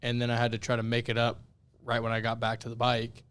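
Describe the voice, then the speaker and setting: A young man co-hosting a casual podcast, his voice raspy and worn out from yelling, talking in a candid, self-deprecating way. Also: mid-range voice, completely flat delivery, fast. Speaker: podcast co-host.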